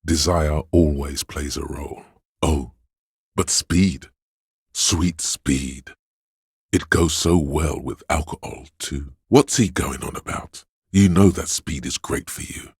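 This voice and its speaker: A man voicing a sleazy, hedonistic inner voice of addiction in a video game, with a slow, coaxing, streetwise manner and a deep gravelly, husky sound. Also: darkish tone, no echo, clean studio sound, exaggerated voice. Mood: seductive, hungry. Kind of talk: video game character voice acting.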